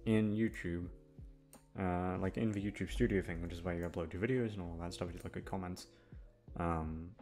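A man's voice: sits low, fairly even intonation, moderate pace, a little mellow, faint music behind. A man chatting casually to his listeners, rambling in a downbeat, complaining way and swearing freely. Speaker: channel host, audio voice actor.

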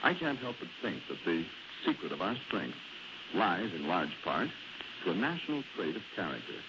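Animated 1940s radio announcer, man, American accent. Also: deep voice, moderate pace, dull audio. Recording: noisy old 1940s radio broadcast.

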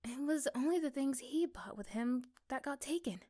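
A woman playing an affectionate, reassuring young girlfriend in a soft, gentle ASMR roleplay. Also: sits high, expressive, normal speed. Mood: storytelling. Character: affectionate, reassuring young girlfriend chatting intimately to her partner.

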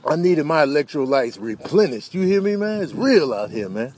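A man's voice: a little high, expressive, moderate pace, darkish tone, slight background noise, lightly acted. A man voicing a brash, chummy monkey with a casual, cheeky way of talking in a comedy dub. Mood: cheeky, demanding. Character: brash, chummy male monkey shaking down tourists.

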